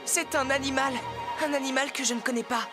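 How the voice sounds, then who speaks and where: A brave, curious cartoon boy, played by a woman, speaks in a high, youthful voice. He is eager and earnest, with loud music behind him. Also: steady tone, a little fast, lightly acted. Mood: concerned, determined. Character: brave, curious young Sioux boy, kind to animals. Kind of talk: animated cartoon dubbing.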